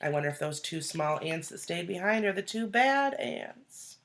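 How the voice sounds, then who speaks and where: A woman reading a children's storybook aloud like a teacher, deliberately, pausing to explain and put questions to young listeners. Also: on the low side, animated, fairly bright tone. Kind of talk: expressive storybook read-aloud with asides and questions.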